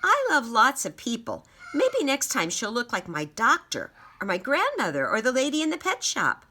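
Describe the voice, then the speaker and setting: Woman voicing an earnest little girl, pitched high. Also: huge pitch swings, moderate pace, sharp tone, heavily acted. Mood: thoughtful. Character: earnest, hopeful little girl who believes in fairies.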